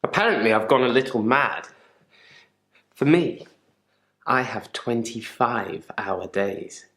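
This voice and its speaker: A troubled gravedigger talking as a therapy patient in a video game. He is articulate and guarded, with a dry, sardonic wit. The voice sits at a medium pitch in a clearly echoey room. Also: lively intonation, slow pace, a bit bright. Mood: matter-of-fact, musing. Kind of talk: naturalistic acted monologue in therapy session.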